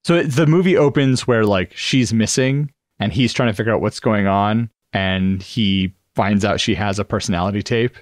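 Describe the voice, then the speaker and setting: A man's voice: fairly low pitch, moderate pace, hardly any pauses, no room sound, studio quality. A man co-hosting a podcast, talking casually and reflectively as he works through ideas, often tentatively. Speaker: podcast co-host. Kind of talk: casual brainstorming discussion.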